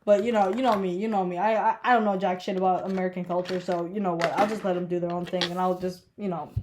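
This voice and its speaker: A woman's voice: lowish voice, steady tone, fast, noisy background. A young woman, a college student, chatting casually while getting ready, stuffed up and congested, rambling with lots of fillers, swearing and laughing. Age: young adult. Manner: rambling, profane, giggly, many fillers. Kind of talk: casual get-ready-with-me vlog chat.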